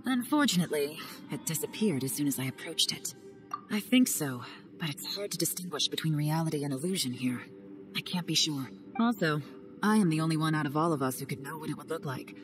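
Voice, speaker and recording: Cool secretive agent, low voice. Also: female voice. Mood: calm, analytical. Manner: calm, measured, low-key. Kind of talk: game cutscene voice acting. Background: game music.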